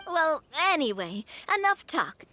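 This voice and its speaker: Upbeat young adventurer leader, high voice, really lively, game dialogue. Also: female voice, measured pace, background noise. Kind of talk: video game acting.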